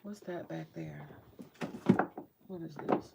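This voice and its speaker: A woman with a deep morning voice chatting casually about her books, rambling, swearing freely and muttering to herself as she searches her shelves. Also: takes it slowly, creaky, heavy background noise. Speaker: vlogger sharing her book collection. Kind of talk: casual home vlog chat.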